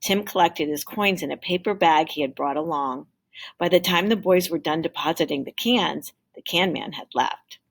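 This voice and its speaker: A woman reads a children's story aloud in a low voice with a gravelly, husky texture. Her storytelling is deliberate and emphatic, as a teacher reads to a class. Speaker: teacher reading a picture book aloud. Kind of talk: expressive storybook read-aloud.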